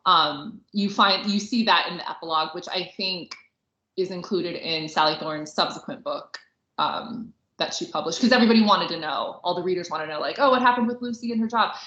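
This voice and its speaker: A woman's voice: fairly low pitch, a little fast, fairly bright tone. A woman co-hosting a podcast, chatting casually and informally about books and films, with plenty of "like" in her talk. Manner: chatty, informal, heavy use of "like". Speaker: podcast co-host. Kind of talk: casual book and film discussion. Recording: roomy echo, slightly dull sound.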